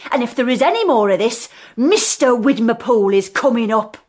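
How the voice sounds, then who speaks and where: A woman's voice: on the high side, animated, moderate pace, darkish tone. An actress playing a brash, put-upon working-class mother of many children in a radio comedy drama, blunt, rambling and unabashed. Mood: indignant.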